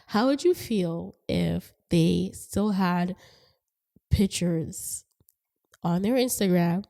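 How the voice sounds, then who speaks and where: A middle-aged woman hosting a podcast in casual chat, her voice raspy and hoarse from a cold, talking in a rambling, chatty way and laughing often. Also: fairly low pitch, slow, dark tone. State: hoarse from a cold, stuffy nose. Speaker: podcast host.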